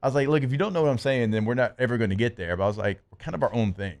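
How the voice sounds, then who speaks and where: A man co-hosting a sports radio show, chatting casually and retelling a conversation with animated quotes. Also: mid-range voice, racing. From Louisiana. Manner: animated storytelling, reads listener texts. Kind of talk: casual sports talk chat.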